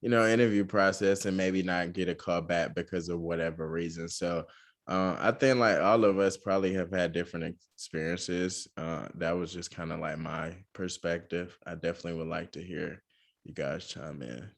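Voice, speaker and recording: Low-voiced young man, flat casual talk. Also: normal speed, creaky. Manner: relaxed, many fillers, says he talks monotone. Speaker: podcast co-host. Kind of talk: casual podcast discussion.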